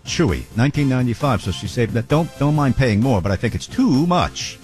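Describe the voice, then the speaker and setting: A man's voice: medium pitch, animated, fairly quick, a little mellow, faint music behind. A man hosting a talk radio show, chatting loosely and often stumbling over repeated words as he starts a sentence. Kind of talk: casual talk radio banter.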